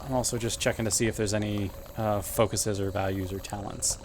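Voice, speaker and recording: A man's voice: lowish voice, fairly even intonation, brisk, slight background noise. A man playing a tabletop role-playing game, talking casually as he works through his moves.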